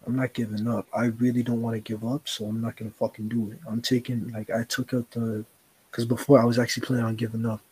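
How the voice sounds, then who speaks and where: Husky young man, earnest and rambling. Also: mid-range voice, flat delivery, fast talker, a little mellow, poor audio. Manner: earnest, rambling, heavy swearing and slang. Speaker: podcast co-host. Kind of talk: casual chat.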